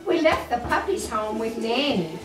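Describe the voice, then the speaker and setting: An older woman reads a children's story aloud in a highish, very animated voice, taking her time over each phrase. She turns aside to speak fondly to young listeners. The recording is echoey and distant, with music clearly playing underneath. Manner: expressive, deliberate, doting toward the children.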